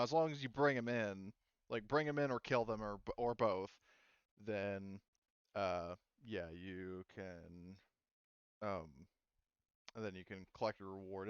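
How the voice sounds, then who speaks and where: A man's voice: mid-range voice, clear, resonant tone, dry recording, muffled recording. A man casually running a tabletop roleplaying game as game master, hesitant and thinking aloud.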